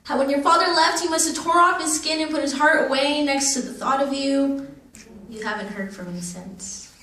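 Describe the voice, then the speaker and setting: A young woman recites spoken-word poetry in a high voice. Her delivery is earnest and dramatic, stretching out phrases. She sounds distant from the microphone, in a very reverberant room. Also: moderate pace, sharp tone, a little hiss or hum. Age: young adult. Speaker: poet.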